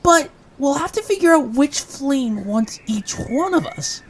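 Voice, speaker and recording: A woman's voice: sits high, animated, a little slow. A woman playing a loyal young creature sidekick, earnest and stubbornly brave, in an amateur cartoon-style audio drama. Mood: puzzled.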